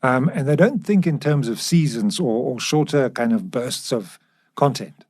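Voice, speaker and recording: A man's voice: medium pitch, lively intonation, normal speed, a little mellow, breathy edge. A man with a mid-range voice talks casually. He sounds like a podcast co-host in an informal discussion.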